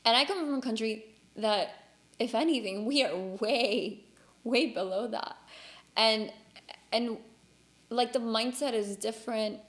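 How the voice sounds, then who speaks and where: Woman from cuba, native spanish speaker, kinda high voice, chatty podcast host, earnest and emphatic. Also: measured pace. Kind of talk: casual conversational podcast talk.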